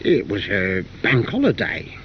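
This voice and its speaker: A man playing a tipsy working-class fellow who recounts his drunken day out in a halting, self-justifying monologue. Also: fairly low pitch, wildly swooping pitch, pitched below the performer's own voice. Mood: tipsy, rambling. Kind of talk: dramatic monologue. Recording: dull, noisy cassette recording.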